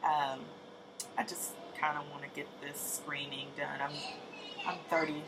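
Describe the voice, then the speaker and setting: A woman in her late thirties talks slowly and haltingly to her camera, pausing on ums and restarting her sentences. Her voice is low with a gravelly fry, and she sounds tired. The home recording carries clear background noise. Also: steady tone. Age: 30s. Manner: soft-spoken, hesitant, many ums and restarts. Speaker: vlogger. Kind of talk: casual personal update to camera.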